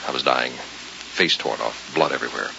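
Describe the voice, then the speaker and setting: An actor playing a frightened, bewildered man with a gravelly voice, terse and defensive, in old-time radio thriller style. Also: a bass voice, normal speed, exaggerated voice. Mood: anguished, confessing. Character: frightened, bewildered man haunted by a supernatural bargain. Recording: hissy, dull old radio recording.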